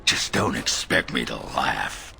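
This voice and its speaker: Casting: boozy middle-aged dwarf, fantasy game. Gravel-throated and forceful, crude humor. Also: male voice, sing-song, takes it slowly, slight background noise, full character voice. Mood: amused grumbling. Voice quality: very deep gravelly growl. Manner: coarse, teasing, blunt. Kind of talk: fantasy video game voice acting.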